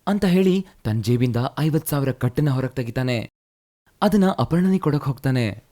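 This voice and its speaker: A man narrating a moral fairy tale in a brisk, plain storytelling manner. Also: mid-range voice, expressive, mellow.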